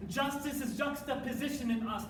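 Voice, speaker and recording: A young man performs earnest spoken-word lines in a staged reading, his voice sitting high for a man. He is picked up from far off in a roomy hall, with steady noise under him. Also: fairly quick. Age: young adult. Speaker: college forensics team performer. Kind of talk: reader's theater performance. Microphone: distant room mic. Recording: echoey, distant, noisy live room.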